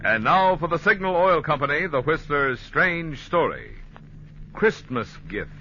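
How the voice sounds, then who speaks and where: A man's voice: a little high, sing-song, measured pace. A man announcing a sponsored 1950s radio show from a script with dramatic, emphatic showmanship. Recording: dull, noisy vintage radio recording.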